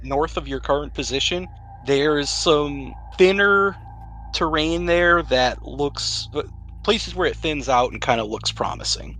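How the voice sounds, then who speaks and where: A man's voice: a little high, a little slow, bright, forceful tone, a little hiss or hum. Man casually running a tabletop game. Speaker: game master.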